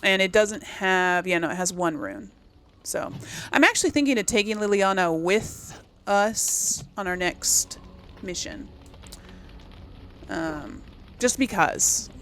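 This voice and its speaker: A woman's voice: medium pitch, lively intonation, takes it slowly, fairly bright tone, a little hiss or hum. A woman giving casual let's play commentary as host, rambling as she thinks aloud and corrects herself. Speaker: gaming video host.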